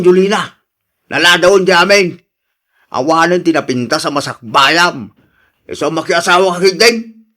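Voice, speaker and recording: A man's voice: sits high, a bit bright. An actor playing an exasperated aging father, lecturing emphatically in radio drama style. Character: exasperated aging father pressing his daughter to marry.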